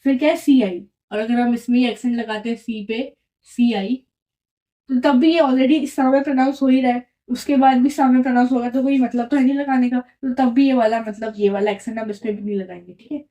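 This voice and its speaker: A woman teaches in a patient, repetitive way, pausing often to check that the listener follows. Her voice sits a little high, yet its tone is mellow and unforced. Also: fairly even intonation, lo-fi sound. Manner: patient, repeats and checks in with "right?". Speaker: French teacher. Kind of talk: explaining a lesson at a whiteboard.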